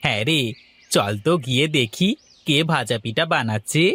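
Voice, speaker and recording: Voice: medium pitch, moderate pace, a bit bright, slightly rough. A voice actor playing a mournful young village man in a cartoon, grumbling about being poor. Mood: wistful. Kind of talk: cartoon voice acting.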